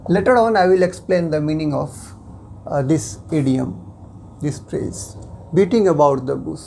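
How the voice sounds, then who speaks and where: A native Hindi speaker teaching English in a slow, deliberate way, explaining phrase by phrase and at times switching into Hindi. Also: mid-range voice, vocal fry, darkish tone, a little hiss or hum. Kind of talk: explanatory lesson.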